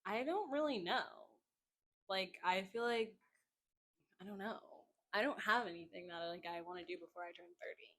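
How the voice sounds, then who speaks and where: A woman's voice: medium pitch, moderate pace, a bit bright. A woman in her twenties co-hosting a podcast, blunt and casual, swearing now and then. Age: 20s. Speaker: podcast co-host. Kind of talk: casual chat.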